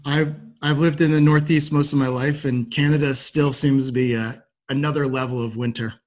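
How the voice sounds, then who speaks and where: A man's voice: mid-range voice, fairly even intonation, normal speed. A man, politely hosting a podcast interview. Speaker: podcast host.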